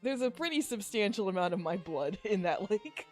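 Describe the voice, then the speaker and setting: A man with a lightly pitched voice comments casually as a podcast co-host. He drops wry asides, with game music playing underneath. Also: expressive, moderate pace.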